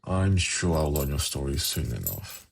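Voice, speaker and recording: A man playing a suave, haughty demon prince in an ASMR fantasy roleplay, speaking in a measured, formal, silkily condescending way with a gravelly, husky voice. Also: very deep voice, sing-song, a little hiss or hum, heavily acted. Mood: soft, coaxing.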